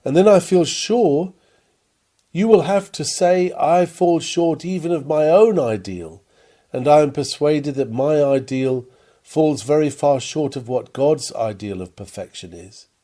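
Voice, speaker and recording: A man reading an old-fashioned sermon aloud in an earnest, rhetorical manner with deliberate phrasing. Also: a little high, expressive, mellow. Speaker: sermon reader.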